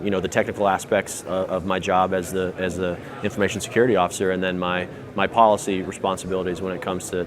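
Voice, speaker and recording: A man speaking as a panel guest, quick and loose with many fillers. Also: deep voice. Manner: fast, many fillers and restarts. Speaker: panel guest, data protection officer. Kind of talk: live panel discussion.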